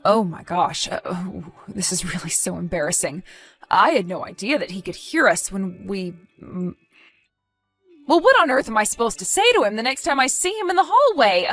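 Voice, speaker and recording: A woman's voice: medium pitch, animated, normal speed, fairly bright tone, soft background music. A woman acting an evasive wife in a dramatized story, sweet but easily flustered and indignant, with theatrical expression. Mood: embarrassed, flustered. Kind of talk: dramatized text-message story narration.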